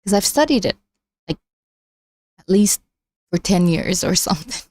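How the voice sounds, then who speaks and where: A woman hosting a casual livestream, chatting in a halting way as she thinks out loud. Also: mid-range voice, lively intonation, dry recording, studio quality. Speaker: livestream host.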